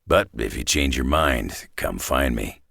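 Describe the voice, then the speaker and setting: An actor playing a gruff, sardonic, battle-hardened male superhero in a video game, growling tough-guy slang in a raspy North American accent. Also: expressive, unhurried, fairly bright tone, no room sound, studio quality, exaggerated voice. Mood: gruff, wry. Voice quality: very deep, gravelly, raspy growl. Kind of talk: video game character voice lines.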